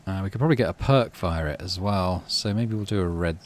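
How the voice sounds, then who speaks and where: A man's voice: low voice, a little fast. A man casually commentating on a game, thinking aloud in murmured asides and reading story text out. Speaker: gaming channel host.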